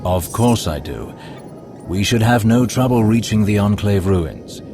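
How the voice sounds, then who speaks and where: A lowish, silky male voice of a sly Sith schemer, polite and calculating. Loud music plays under his cutscene lines. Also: animated. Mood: suave. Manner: suave, ingratiating, measured. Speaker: Imperial schemer.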